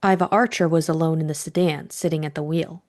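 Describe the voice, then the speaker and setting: Slightly low-voiced woman narrator. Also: fairly even intonation, brisk, a little mellow. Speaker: audiobook narrator and co-host. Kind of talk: scripted story narration and book discussion.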